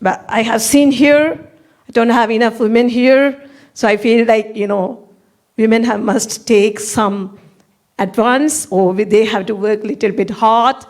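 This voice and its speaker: A woman's voice: a little high, fairly even intonation, measured pace, darkish tone. Earnest Sri woman with Tamil roots. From Sri Lanka. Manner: earnest, searching for words. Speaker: audience member. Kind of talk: audience comment at a panel Q&A.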